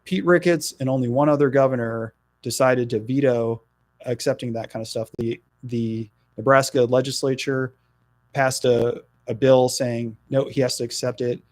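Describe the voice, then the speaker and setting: A middle-aged man from New Jersey, a political candidate talking in a radio interview, earnest and halting with frequent fillers. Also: medium pitch, steady tone, darkish tone, no room sound.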